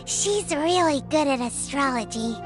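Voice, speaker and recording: A woman voicing a tiny, childlike, blunt and curious fairy companion in a cartoonish video game style, with a squeaky voice. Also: animated, unhurried, music in the background.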